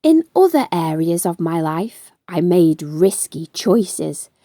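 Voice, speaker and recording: A woman narrates a children's storybook in a slow, highly varied voice. Her tone is soft-edged and mellow, and she stretches words for emphasis. The recording is close and dry. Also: no room sound. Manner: animated, deliberate storytelling with drawn-out emphasis. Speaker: storytime host reading a children's book aloud. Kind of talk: expressive children's read-aloud narration.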